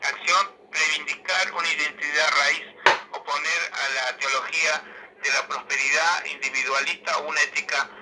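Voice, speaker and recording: A man's voice: on the high side, steady tone, moderate pace, a crowd in the background, low-quality recording. A man reads a political manifesto aloud in a declamatory, emphatic way, as a commentator would.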